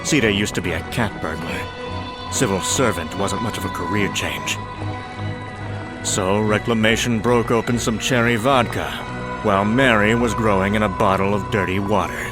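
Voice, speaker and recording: A man with a fairly low voice reads a comic story as its narrator. His delivery is wry, deliberate and dramatic, with faint music underneath.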